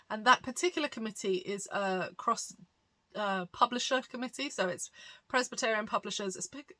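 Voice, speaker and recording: Woman, pastor, bright clear tone, conversational, explanatory, easygoing with fillers. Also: mid-range voice. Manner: chatty and explanatory, many fillers, light laughs. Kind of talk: conversational teaching monologue.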